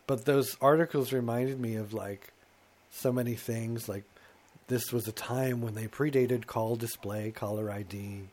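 A man's voice: medium pitch, fairly even intonation, measured pace, a little mellow. A middle-aged man co-hosting a talk show in casual comic chat, rambling and self-mocking, slipping into put-on voices to quote himself.

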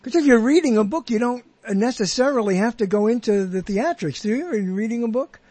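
A man's voice: very high voice, lively intonation, fairly quick, warm tone, dry recording. A man hosting a podcast, chatting casually and rambling with many restarts and half-finished thoughts. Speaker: podcast host. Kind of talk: casual interview chat.

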